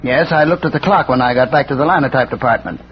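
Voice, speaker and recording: A man's voice: medium pitch, fast talker, close to an everyday voice. An actor playing a plain-spoken, insistent working man pleading his case in a 1940s radio drama. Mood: defensive, earnest. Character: earnest, defensive working man under police questioning. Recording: noisy muffled vintage radio recording.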